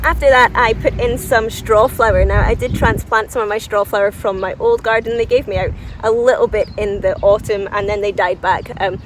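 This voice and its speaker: A woman's voice: medium pitch, steady tone, fairly quick, hardly any pauses, a little hiss or hum. Chatty woman vlogging outdoors, mic at a distance. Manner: enthusiastic, informal, self-deprecating, thinks aloud. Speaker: gardening vlogger giving a garden tour. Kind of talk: casual, unscripted chat to viewers. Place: outdoors in a flower garden.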